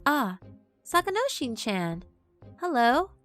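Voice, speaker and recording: A woman's voice: sits high, sing-song, slow pace, over loud music, voice pushed up, heavily acted. A woman voicing a timid, well-meaning girl who muses to herself hesitantly in a visual novel. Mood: worried.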